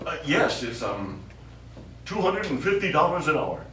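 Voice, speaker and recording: A man's voice: on the high side, very animated, moderate pace, a bit bright. An actor playing a glib, money-hungry middle-aged male lawyer in a stage play, hemming and self-amused. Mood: flustered, evasive. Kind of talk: live stage play acting. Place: small indoor theater studio. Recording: echoey stage recording from far off, faint noise.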